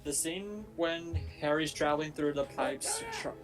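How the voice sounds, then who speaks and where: A man's voice: on the high side, unhurried, faint music behind, rough recording. A man giving casual commentary on a film as one of a group of panelists. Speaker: panelist on a film watch-along. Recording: film dialogue and chatter behind voice.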